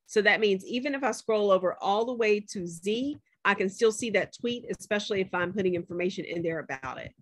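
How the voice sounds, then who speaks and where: A woman's voice: mid-range voice, animated, a little fast, hardly any pauses, no echo. A woman professor walking students through a spreadsheet on a shared screen, chatty and checking in with them often. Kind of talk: screen-share class demo.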